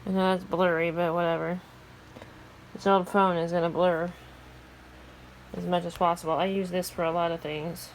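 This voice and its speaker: A woman's voice: lowish voice, level tone, moderate pace, long pauses, a little hiss or hum, rough recording. A woman talking casually as she narrates an amateur weather vlog.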